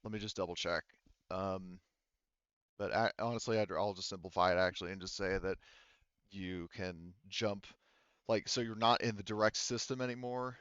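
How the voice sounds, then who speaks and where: A man working as game master, casually narrating a sci-fi tabletop roleplaying game in a halting, think-aloud way. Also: low-pitched, fairly even intonation, fairly bright tone, clear, resonant tone, dry recording, lo-fi sound.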